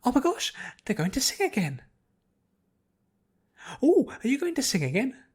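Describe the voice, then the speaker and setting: A man voicing a bubbly, hyperactive young female cartoon pony, gushing and rambling excitedly. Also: very high-pitched, normal speed, breathy edge, voice pushed up, heavily acted. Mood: excited, eager. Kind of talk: fan fiction audiobook character voice.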